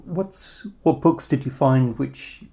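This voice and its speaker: A man's voice: medium pitch, takes it slowly, creaky, dark tone. A man interviewing in a conversational way, phrasing his questions and comments tentatively and hesitantly.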